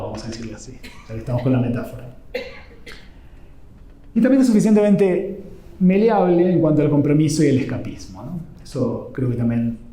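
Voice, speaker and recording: Man, Argentine accent, conversational lecturer, dark mellow voice, roomy echo. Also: on the high side. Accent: Argentine Spanish. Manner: conversational, keeps adding "¿no?" at the end of phrases. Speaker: literature lecturer. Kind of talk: informal academic talk.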